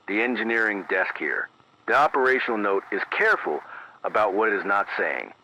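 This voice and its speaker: A man playing a careful, grave local radio announcer who reads emergency bulletins in a formal, measured way, repeating key phrases, through an AM radio filter. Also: lowish voice, bright, forceful tone, heavily acted. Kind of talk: scripted analog horror news bulletin. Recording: dull AM radio sound, faint noise.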